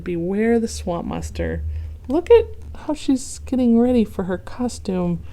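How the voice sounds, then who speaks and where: A woman teacher reading a picture book aloud to children, gentle and stopping to ask the listener questions. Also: mid-range voice.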